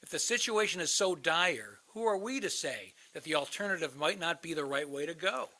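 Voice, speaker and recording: Guy acting jaded older hotline counselor, cynical and bitter, slightly high voice, radio play style. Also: animated, moderate pace, sharp tone. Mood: provocative. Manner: world-weary, philosophizing.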